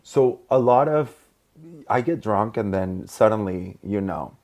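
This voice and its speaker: A man's voice: on the low side, lively intonation, measured pace, a little mellow. A man hosting a podcast interview, asking curious questions and laughing easily. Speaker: podcast host. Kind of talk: casual interview.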